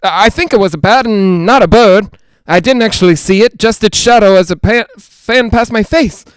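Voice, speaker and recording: A man playing an excitable, skeptical reporter in a 1940s-style radio drama, hesitant and stammering when rattled. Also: high-pitched, normal speed, clear, resonant tone, no echo, close to an everyday voice, low-quality recording. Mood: alarmed. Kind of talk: 1940s radio drama acting, live on-the-scene commentary.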